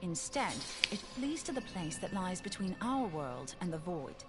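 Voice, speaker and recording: A woman's voice: on the low side, big pitch swings, a little slow. An actress playing an eager woman scholar in a video game cutscene, explaining things articulately. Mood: explanatory. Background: game music.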